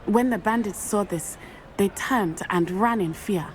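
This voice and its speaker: A woman voicing an earnest young female student who calmly tells how her father died, in a video game cutscene. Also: medium pitch, a little slow, slight background noise, close to an everyday voice. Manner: earnest, composed storytelling.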